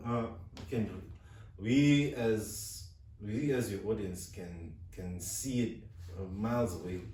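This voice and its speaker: A man's voice: fairly low pitch, takes it slowly, darkish tone, heavy reverb, far from the mic, slight background noise. A man from South Africa co-hosting a podcast in casual chat, who stutters and restarts his words. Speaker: podcast co-host. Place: recording studio.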